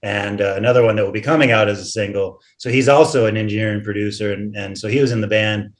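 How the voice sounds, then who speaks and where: A man's voice: on the low side, steady tone, fast. A middle-aged man from the United States talking informally and telling stories as a singer in a casual group interview. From born in Seattle, United States. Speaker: lead singer being interviewed.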